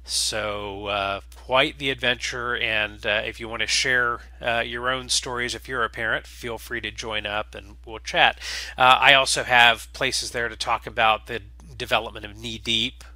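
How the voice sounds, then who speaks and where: A man gives a casual spoken introduction as host. His voice is strikingly bright and forward, and he moves along haltingly, dropping in uh after uh. The sound is dry and close, with very little room on it. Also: medium pitch, fairly even intonation, no room sound, rough recording. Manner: halting, with very frequent uhs. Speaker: host and game creator.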